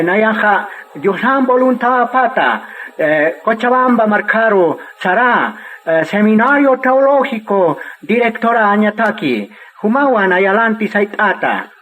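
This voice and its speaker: An actor playing a courteous, purposeful church pastor in a radio drama, speaking haltingly. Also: male voice, high voice, fairly bright tone, full character voice. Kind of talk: scripted radio drama dialogue. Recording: faintly noisy radio recording.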